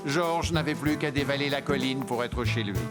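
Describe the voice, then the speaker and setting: A man's voice: mid-range voice, moderate pace. A man narrating a children's cartoon as a scripted storyteller. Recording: loud music behind.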